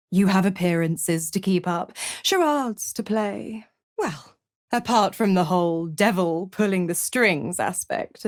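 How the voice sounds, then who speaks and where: A woman's voice: lowish voice, no room sound, studio quality, heavily acted. An actress playing a seductive, gloating female devil in a video game, purring with theatrical, mocking condescension and savoring her words. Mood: haughty, taunting. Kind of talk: scripted video game character acting.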